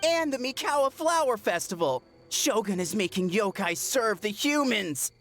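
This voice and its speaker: A woman's voice: medium pitch, expressive, normal speed, fairly bright tone, soft background music. A woman playing a defiant, panicky young tanuki spirit who speaks in indignant, dramatic exclamations in a video game.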